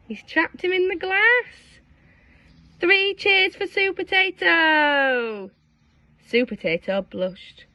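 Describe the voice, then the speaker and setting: A woman reading a storybook aloud to young children, dramatic and expressive, engaging her listeners with questions. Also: very high-pitched, drawn-out words, pauses often, no room sound, highs cut off. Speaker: storybook reader.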